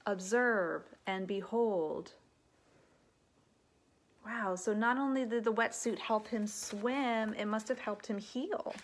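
Woman reading slowly to young children. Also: medium pitch. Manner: expressive, deliberate storybook delivery for young children. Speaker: elementary teacher reading a picture book aloud. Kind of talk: children's read-aloud with explanatory asides. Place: living room at home.

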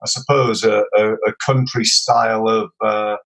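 A man's voice: lowish voice, flat delivery, measured pace, vocal fry, fairly bright tone. A middle-aged man with a Yorkshire accent, telling his life story as a podcast guest, hesitant with many fillers and restarts. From Sheffield, England. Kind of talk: casual interview storytelling.

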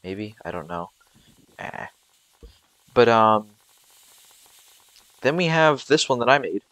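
A man's voice: medium pitch, sing-song, takes it slowly, fairly bright tone, no echo, poor audio. A young man giving rambling, casual commentary over gameplay, with frequent fillers and restarts. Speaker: gameplay video creator.

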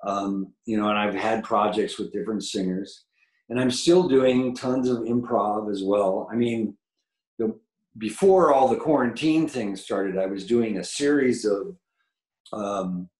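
An older man with a low baritone voice, chatting as a guest musician over a video call, rambling and enthusiastic with many fillers. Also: unhurried, a little mellow, roomy sound, poor audio. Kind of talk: casual interview chat. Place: home room with painted mural, video call. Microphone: video call webcam.

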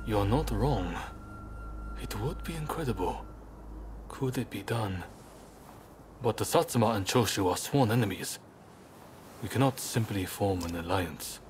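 A sober samurai leader from a game drama, pragmatic and deliberate. His voice sits on the low side and is measured and serious, over a noticeable rumbling noise. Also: expressive. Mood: weighing, cautious. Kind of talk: video game cutscene acting.

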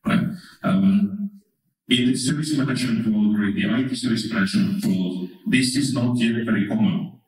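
A man in his thirties, from Estonia, speaks with an Estonian accent in a fairly low voice. He talks into a handheld mic, his words booming through a big hall and picked up from well back in the room. His delivery is relaxed and wry, and he often checks in with "right?". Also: normal speed, slight background noise. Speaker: conference speaker. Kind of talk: conference keynote talk. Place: large conference hall, indoors. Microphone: handheld microphone through hall speakers. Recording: echoey hall, from far off.

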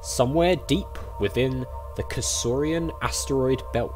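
Guy doing a lets play, chatty, reacts out loud, normal pitch, game music quietly behind. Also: unhurried. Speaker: gaming video host. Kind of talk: casual let's play commentary.

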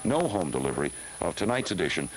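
Seventies radio host: American male, low register, live bulletin reading, vintage cassette aircheck. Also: sing-song, quick pace, slight background noise, low-quality recording. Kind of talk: live storm announcements on 1970s radio.

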